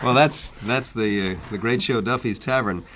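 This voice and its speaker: A man presents a radio interview program. He has a medium-pitched voice and speaks in a conversational hosting style. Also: moderate pace, heavy background noise. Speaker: radio host. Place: radio studio.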